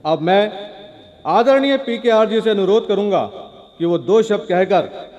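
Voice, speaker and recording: An actor playing a respectful, formal master of ceremonies announcing grandly at a film festival in a radio play. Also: male voice, sits high, a little slow, darkish tone. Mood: formal, honouring. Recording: muffled echoing hall with crowd.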